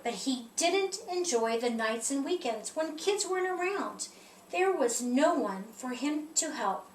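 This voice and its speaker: A woman reading a children's picture book aloud in an expressive storytelling style. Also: sits high, unhurried. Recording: roomy echo, distant mic, faint noise.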